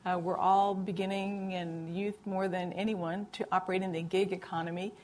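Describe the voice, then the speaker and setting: A woman's voice: mid-range voice, little pitch movement, moderate pace, darkish tone. A woman answering as a panelist, earnest and thoughtful, often repeating words as she builds her point.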